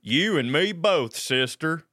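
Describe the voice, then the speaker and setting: A man's voice: a little high, animated, slow, bright, forceful tone, no room sound, studio quality, full character voice. A man acting an earnest, awed detective's sidekick in an audio drama.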